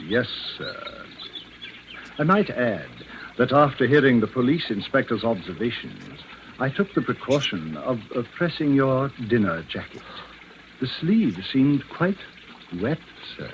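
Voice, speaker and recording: Creepy polite servant in old radio play, oily and insinuating, voice goes up and down, really noisy recording. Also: male voice, medium pitch, sing-song, muffled recording. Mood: smoothly insinuating. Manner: obsequious, measured, insinuating, formal. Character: deferential, slyly menacing manservant blackmailing his employer. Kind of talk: 1940s radio drama acting.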